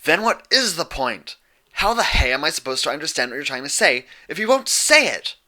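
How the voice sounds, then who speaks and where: A man's voice: a little high, very animated, brisk, bright, forceful tone, pitched above the performer's own voice, exaggerated voice. A man voicing a brash, defensive young female pegasus pony in a storybook reading, blunt and slangy. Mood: angry, defensive.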